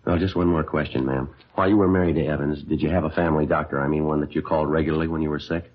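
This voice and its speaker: Very deep, clipped police sergeant. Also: male voice, rapid-fire. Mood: businesslike. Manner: deadpan, clipped, polite. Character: stoic, businesslike police sergeant narrating his case. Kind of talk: 1950s radio crime drama narration and dialogue. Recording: dull old radio recording.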